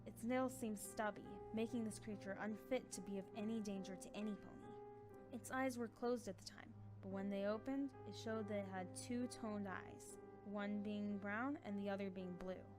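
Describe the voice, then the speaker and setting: Female narrator, mid-pitched, scripted storytelling with soft background music. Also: steady tone, moderate pace.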